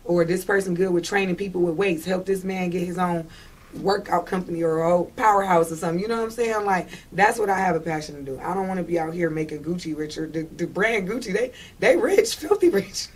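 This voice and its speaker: A woman's voice: fairly low pitch, fast, a little mellow, breathy edge. A woman with a Detroit accent chatting casually as an interview guest, talking in an emphatic, slangy way and often checking that the listener follows. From Detroit, United States.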